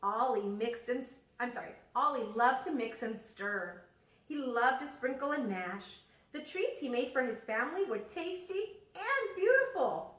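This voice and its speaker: A woman teacher reading a children's story aloud expressively, pausing to ask her listeners questions. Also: high-pitched, a bit bright. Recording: echoey room, distant microphone.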